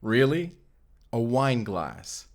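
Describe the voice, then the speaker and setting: A man playing a brooding, hot-tempered Spanish vampire slayer in an audio drama, gruff and curt. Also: mid-range voice, sing-song, slow pace, background noise. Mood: scornful. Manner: gruff, curt, easily angered. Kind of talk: cinematic audio roleplay acting.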